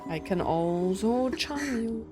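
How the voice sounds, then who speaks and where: A woman's voice: on the high side, expressive, slow, a little mellow. A woman giving casual, informal live commentary on a game, thinking aloud as a streamer. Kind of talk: casual live reaction commentary over gameplay. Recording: game music under the voice. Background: game music and dialogue.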